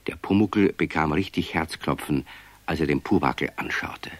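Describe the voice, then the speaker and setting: A man narrating a children's radio play as an expressive storyteller, reading from a script. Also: rumbling low, normal speed, no room sound.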